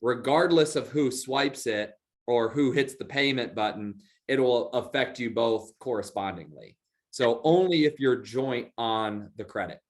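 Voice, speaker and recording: A man, a bank expert, answers webinar questions in a relaxed way with many fillers. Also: medium pitch, a little slow.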